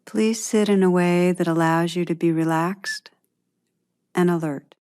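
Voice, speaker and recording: Female meditation guide, lower-pitched, very slow and gentle, pausing often. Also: fairly even intonation, clear, resonant tone. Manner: gentle, unhurried, long pauses.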